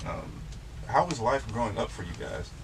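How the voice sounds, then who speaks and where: A relaxed, slangy male host leads a casual chat in a small studio. His voice sits at an ordinary middle pitch, and a faint hiss runs underneath. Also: normal speed, gravelly. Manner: laid-back, slangy, easygoing. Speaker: show host and DJ. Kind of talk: casual interview.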